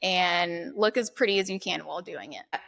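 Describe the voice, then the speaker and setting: A woman's voice: lowish voice, brisk, gravelly, a bit bright, rough recording. A woman guest on an interview podcast, talking candidly and laughing easily. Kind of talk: casual interview chat.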